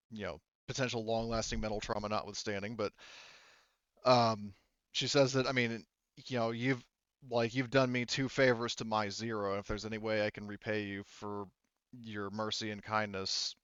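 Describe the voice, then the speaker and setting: A man with a clear, resonant voice narrates a tabletop roleplaying game as game master. He talks casually and rambles as he improvises. The recording is dry and a little muffled. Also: lowish voice, level tone, normal speed, a bit bright, dry recording. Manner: rambling, conversational.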